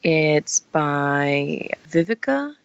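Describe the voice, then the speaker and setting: A woman's voice: low-pitched, very animated, very slow, creaky, clean tone, no room sound. A woman in her forties, originally from Vietnam, answering interview questions in an earnest, reflective way with some hesitations. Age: 40s. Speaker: guest. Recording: dry, muffled lo-fi sound.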